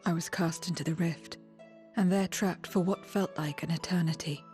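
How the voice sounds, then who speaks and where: A woman playing a guarded, world-weary survivor in a video game cutscene, speaking in a measured, solemn, detached way. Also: lowish voice, fairly even intonation, a little mellow, close to an everyday voice. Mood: grave, brooding. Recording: background music under the voice.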